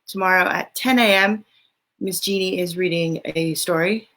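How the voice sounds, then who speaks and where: Informal woman hosting story time, slightly creaky voice. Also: on the low side, moderate pace, fairly bright tone. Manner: informal, rambling, thinking aloud. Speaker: children's story time host and reader. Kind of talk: reading a picture book aloud, then casual chat to children.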